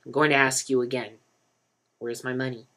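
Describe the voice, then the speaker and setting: A woman's voice: very deep voice, flat delivery, moderate pace, a bit bright. A woman doing a violent, swearing drug dealer. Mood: menacing. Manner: threatening, swearing, erupts into screams. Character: menacing male drug dealer, violent, hot-tempered.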